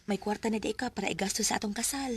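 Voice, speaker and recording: An actress playing a hesitant, gentle young village daughter in a Bisaya radio drama, with a Cebuano Filipino accent. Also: female voice, mid-range voice, fast talker, a little hiss or hum, close to an everyday voice. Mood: hesitant, yielding.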